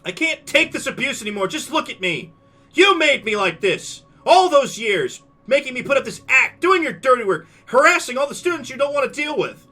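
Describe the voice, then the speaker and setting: A man doing a shrill, explosive dino girl. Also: steady tone, fast, few pauses, close to an everyday voice. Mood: screaming, distraught. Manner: shrill screaming outbursts. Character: volatile young dinosaur girl, tearful and explosive.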